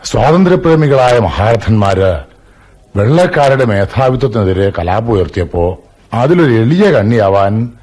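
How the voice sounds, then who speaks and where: An actor playing an older, reflective Malayali landlord in a radio drama, with a measured storytelling manner and a dignified air. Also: male voice, on the low side, big pitch swings, darkish tone.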